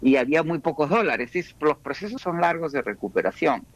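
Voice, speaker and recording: A Peruvian-born man speaking as an economist guest in a radio interview, explaining at a measured pace with frequent restarts. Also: a little high, big pitch swings, no room sound. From Peru.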